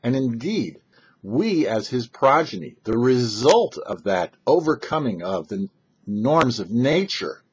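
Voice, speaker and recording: A man, a rabbi hosting a short religious commentary, telling and explaining scripture with emphatic, halting phrasing and pauses. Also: mid-range voice, very animated, slightly rough. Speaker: rabbi and host of a weekly Torah talk show. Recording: dull, lo-fi sound.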